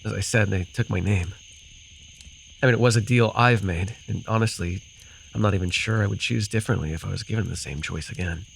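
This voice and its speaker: A man playing a gruff but caring, easily flustered fantasy forest guide in an immersive ASMR roleplay, speaking hesitantly and soothingly. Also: lowish voice, fairly quick, a little hiss or hum, rough recording. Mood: earnest, reassuring. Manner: hesitant, soothing, sighing, teasingly defensive.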